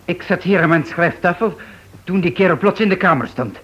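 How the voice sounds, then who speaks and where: An actor playing a flustered, defensive husband, stammering and protesting in a radio drama. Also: male voice, high voice, lively intonation, brisk, a bit bright. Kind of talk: Dutch radio play acting. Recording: dull old radio recording.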